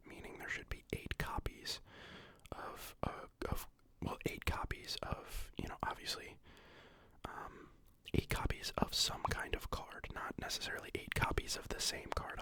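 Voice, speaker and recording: Breathy whispering ASMR explainer, sniffly from a recent illness, halting, full of fillers. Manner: rambling, halting, many fillers and restarts. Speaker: card game deck explainer. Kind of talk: whispered ASMR deck walkthrough.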